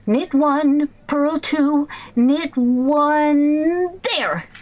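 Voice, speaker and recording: A woman playing a flustered, scheming housewife in old-time radio comedy, expressive and exclaiming. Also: high-pitched, very slow, poor audio. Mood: prim, impatient.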